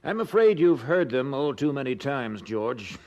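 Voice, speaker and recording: An actor playing a courteous, supportive colonial gentleman in an audio drama, polite and formally conversational. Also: mid-range voice, big pitch swings, a little slow, lo-fi sound. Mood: concerned, frank.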